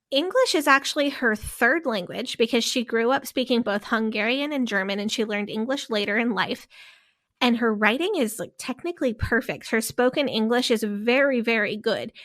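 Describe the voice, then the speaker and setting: A woman's voice: fairly high pitch, moderate pace, a bit bright. A woman co-hosting a podcast, telling a story in a casual, rambling way. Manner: storytelling, with frequent fillers and restarts. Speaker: podcast co-host.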